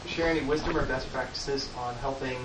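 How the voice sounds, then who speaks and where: A man's voice: mid-range voice, steady tone, a little fast. A man in the audience asking a question plainly. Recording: roomy, distant mic, noisy.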